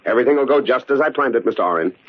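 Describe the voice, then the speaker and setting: On a dull, lo-fi recording, a male actor plays a coldly calculating secretary bent on murder. The man's voice is husky and mid-pitched, with precise, smug menace in the manner of old radio drama. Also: animated, very fast, natural voice. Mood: cold gloating. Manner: smug, precise, coolly threatening.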